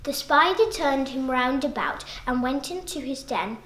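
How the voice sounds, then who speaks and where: Young schoolgirl narrating verse dramatically, very high voice, echoey room. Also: expressive, measured pace, a bit bright. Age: child, about 9 or 10. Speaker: school pupil reciting. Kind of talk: dramatic poetry recitation, narrating.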